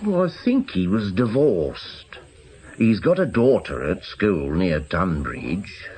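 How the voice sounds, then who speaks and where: A British actor playing a chatty caretaker in a radio drama, rambling and confiding in a naturalistic way. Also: male voice, sits low, sing-song, slow pace, a little mellow, breathy edge, full character voice. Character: gossipy working man, chatty clinic caretaker.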